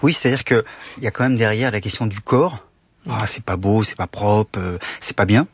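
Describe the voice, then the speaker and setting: A man speaking as an author and guest expert on a panel, talking in quick bursts that restart phrases and fill with "euh". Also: lowish voice, breathy edge, no room sound, lo-fi sound. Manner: rapid, restarts phrases, many fillers. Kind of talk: discussion on a radio panel.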